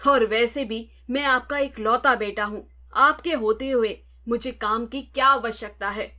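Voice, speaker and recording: A woman's voice: a little high, measured pace, bright, forceful tone. A woman voicing a naive, stubborn merchant's son in a cartoon story, simple and insistent. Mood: pleading.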